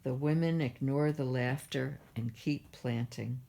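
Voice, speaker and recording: Slow, low-voiced woman reading a storybook. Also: gravelly, mellow. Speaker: library storytime reader. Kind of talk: children's picture book read-aloud.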